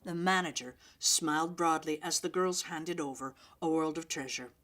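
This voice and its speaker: A woman storyteller reading a children's story aloud in an expressive, deliberate way. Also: deep voice.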